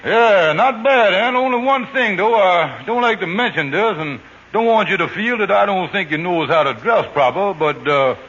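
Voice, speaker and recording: Old-time radio comedy: a sly con man, high and bright, with faint broadcast hiss. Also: expressive, highs cut off. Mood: persuasive. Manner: smooth fast-talking, mangled French. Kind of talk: 1940s radio comedy acting.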